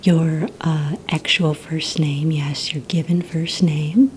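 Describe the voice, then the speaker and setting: A woman whispering softly and breathily in an ASMR roleplay, playing a polite receptionist who asks questions in a gentle, hesitant manner. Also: deep voice, fairly even intonation, slow pace, slight background noise. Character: courteous female villain recruitment receptionist.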